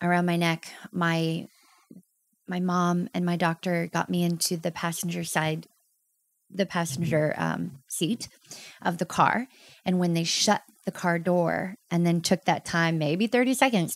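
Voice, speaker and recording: A woman in her thirties talks candidly as a podcast guest, earnest and heartfelt. Her voice sits a little low with a gravelly edge. The sound is close and dry, with no room around it. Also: fairly even intonation, a little slow, no room sound. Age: 30s. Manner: earnest, heartfelt storytelling with emotional emphasis. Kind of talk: candid personal interview.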